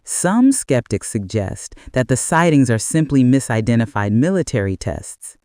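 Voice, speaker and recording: A man narrating a scripted bedtime mystery story in a measured, deliberate way with dramatic pauses. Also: mid-range voice, sing-song, mellow, no echo, clean studio sound.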